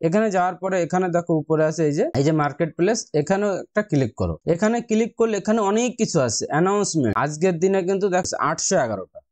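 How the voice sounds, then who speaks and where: A man's voice: high voice, lively intonation, very fast, few pauses, darkish tone, no room sound. A man with an Indian Bengali accent, narrating a casual step-by-step tutorial and addressing viewers as friends in an instructional way. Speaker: investing tutorial host.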